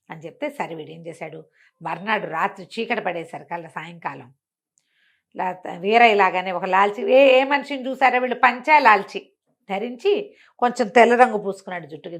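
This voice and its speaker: A woman storyteller with a medium-pitched voice. She tells a folk tale conversationally, explaining as she goes and putting expression into each turn of the tale. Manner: expressive, explanatory, acts out characters' dialogue. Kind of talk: conversational bedtime storytelling to an interviewer.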